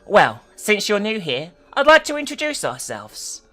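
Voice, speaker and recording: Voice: medium pitch, wildly swooping pitch, a little slow, sharp tone, pitched above the performer's own voice, exaggerated voice. A narrator voicing a cocky twelve-year-old boy bully who taunts with mock-polite menace. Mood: smug.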